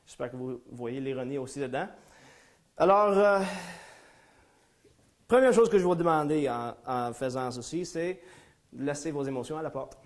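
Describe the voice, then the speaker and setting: A man's voice: medium pitch, animated, moderate pace, long pauses. A man from Ontario whose first language is English, giving a live conference talk in French with hesitant, self-deprecating humor and many fillers and restarts. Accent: English-speaking Ontarian speaking French. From Ontario, Canada. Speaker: lecturer. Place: lecture room before a small audience.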